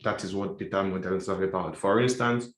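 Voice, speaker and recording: A man with a fairly low voice teaching a class, walking through each idea slowly and restating it in different words. The sound is roomy and echoey. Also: fairly even intonation. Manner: explanatory, repeats and rephrases points. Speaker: instructor. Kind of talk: classroom lecture.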